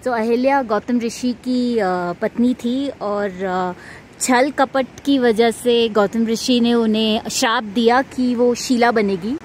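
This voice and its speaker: A woman's voice: on the high side, takes it slowly. Chatty young woman on a travel vlog. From India. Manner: chatty, mixing English words into Hindi. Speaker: travel vlogger. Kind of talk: casual travel vlog narration. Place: outdoors in the mountains and markets. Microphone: handheld phone camera at arm's length. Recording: noisy outdoor phone recording.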